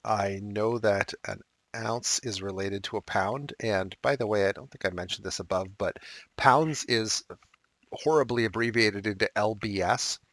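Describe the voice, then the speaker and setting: A male math teacher walking through unit conversions step by step, with casual asides and a slightly raspy, dry-throated voice. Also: on the low side, unhurried, fairly bright tone, dry recording. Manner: explains step by step with asides and fillers. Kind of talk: recorded math lesson walkthrough.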